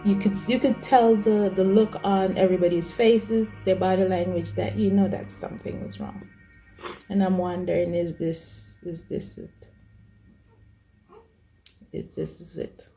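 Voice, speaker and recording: Woman in her 40s, kinda low voice, hesitant, keeps restarting, music in the back. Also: steady tone, measured pace, mellow, poor audio. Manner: hesitant, many fillers and restarts. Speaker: host telling her testimony. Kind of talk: personal testimony. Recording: music bed behind.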